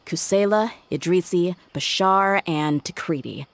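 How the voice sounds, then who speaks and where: A woman's voice: on the low side, a little slow, dry recording. A woman voicing a tough, confident mercenary with an assertive, wry manner in a video game. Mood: brisk, analytical.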